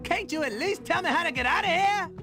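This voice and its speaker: An actor playing an excitable, cocky but friendly zoo animal in a cartoon video game, slangy and full of exclamations. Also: male voice, very high-pitched, a little fast. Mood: pleading. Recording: loud music over the voice.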